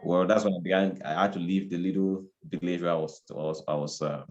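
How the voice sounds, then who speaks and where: A low-voiced man from Cameroon, talking as an interview guest. He speaks reflectively and casually, often circling back and repeating phrases as he looks for the right words. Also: fairly even intonation, a little fast, lo-fi sound.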